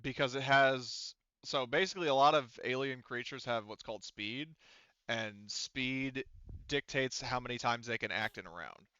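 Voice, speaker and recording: Bright, clear-voiced man running a tabletop game. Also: mid-range voice, no echo, lo-fi sound. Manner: halting, thinking aloud while explaining rules. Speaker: game master. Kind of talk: casual tabletop game refereeing.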